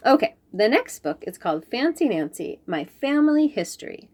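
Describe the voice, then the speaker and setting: A young woman reading a children's picture book aloud, slowly and clearly explaining words. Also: on the high side. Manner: slow, clear and expressive, explaining fancy words.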